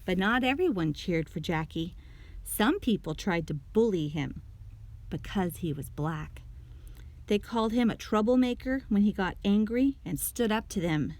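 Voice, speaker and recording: Female storybook reader, mid-pitched, narrating expressively for a young audience. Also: lively intonation, unhurried, a little mellow. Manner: clear storytelling with questions to young listeners. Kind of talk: expressive children's read-aloud narration.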